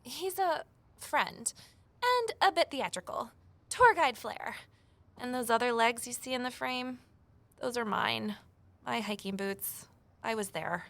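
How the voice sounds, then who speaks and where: A woman's voice: fairly high pitch, lively intonation, unhurried, creaky, fairly bright tone, full character voice. An actress playing a determined, inquisitive investigator who tells her story in an earnest, confiding way. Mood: candid. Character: Evelyn, determined, inquisitive young woman investigator and narrator. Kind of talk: first-person scripted drama narration and dialogue.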